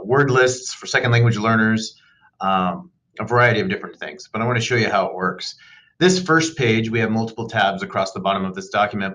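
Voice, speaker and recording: A man with a lowish voice talks through a spreadsheet demo for teachers. His delivery is relaxed and unscripted, dotted with ums and uhs as he clicks along. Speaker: teacher demonstrating software. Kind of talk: screen-recorded tutorial.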